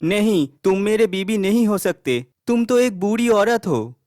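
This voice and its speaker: A man voicing a young suitor in a Hindi animated story. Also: high-pitched, measured pace, no room sound, studio quality. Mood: baffled. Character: young suitor, admiring then baffled and dismissive.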